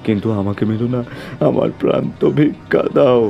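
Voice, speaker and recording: A man's voice: medium pitch, brisk, vocal fry, muffled recording, faint music behind, close to an everyday voice. Man acting an agitated, frightened young man. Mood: pleading. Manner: pleading, agitated. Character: frightened, grieving young man facing a devil. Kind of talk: dramatized horror story for cartoon.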